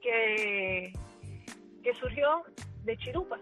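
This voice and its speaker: Woman musician in her 30s, native English speaker, fairly high voice, chatty and repeating herself, faint music behind. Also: lively intonation, extremely slow, rough recording. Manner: warm, chatty, repeats words while finding them. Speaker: musician guest. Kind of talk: radio interview.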